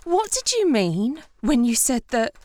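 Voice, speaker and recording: An anxious female amateur detective in a comedy audio play. Her voice is high and swings widely in pitch, fretful and incredulous, though her overall pace is slow. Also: big pitch swings, darkish tone, dry recording. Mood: alarmed, squeamish. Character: anxious, suspicious woman turned amateur sleuth. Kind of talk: comedy audio drama acting.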